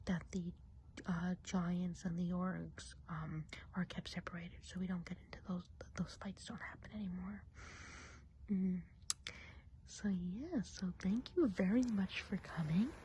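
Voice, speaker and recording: A woman playing a friendly, gossipy witch of the woods in a soft, breathy ASMR roleplay, often whispering and musing gently. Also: lowish voice, a little hiss or hum, lightly acted. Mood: gentle, friendly. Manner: chatty, gentle, unhurried musing.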